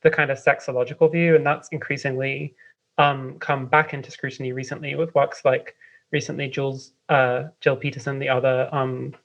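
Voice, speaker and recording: A woman's voice: extremely low pitch, flat delivery, moderate pace. A woman with a London accent giving casual, discursive, hesitant explanations as an interview guest. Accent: London English. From London, England. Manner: hesitant, discursive, frequent "like" and "kind of". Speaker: interview guest, writer and editor. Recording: slightly dull lo-fi audio.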